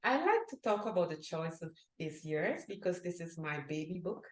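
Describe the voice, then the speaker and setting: Guest author, woman from Guyana, middle-aged, earnest, slightly low pitch, roomy sound. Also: sing-song, normal speed. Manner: earnest, reads a book blurb aloud. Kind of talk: interview answers. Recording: echoey room.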